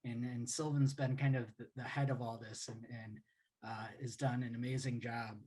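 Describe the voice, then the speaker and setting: A man hosting an online session, introducing a guest speaker in a halting, casual way with many fillers. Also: medium pitch, level tone, a little mellow. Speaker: host.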